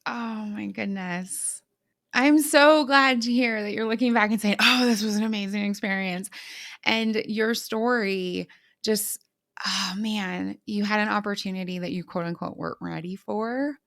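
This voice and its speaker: A woman's voice: medium pitch, measured pace, gravelly, a bit bright, no echo, studio quality. A woman in her thirties hosting a podcast, telling a story with enthusiasm and chatting casually with her guest. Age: 30s. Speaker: podcast host. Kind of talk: casual conversational interview.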